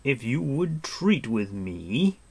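A proud warrior lord in a fantasy storybook, as a narrator acts him out. He declaims slowly and forcefully, with the voice lifted and edged with scorn. He sounds defiant and unbowed. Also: medium pitch, big pitch swings, slightly rough, pitched above the performer's own voice, exaggerated voice. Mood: proud, demanding. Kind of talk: audiobook character voice.

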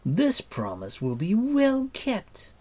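A cruel enchantress queen from a fantasy storybook, acted out by a narrator. The voice is raised high and drawn out, often slipping toward a breathy hush. It is imperious, coaxing and full of menace. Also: very animated, takes it slowly, heavily acted. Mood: furious. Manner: gentle coaxing turning to menacing hiss. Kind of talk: audiobook character voice.

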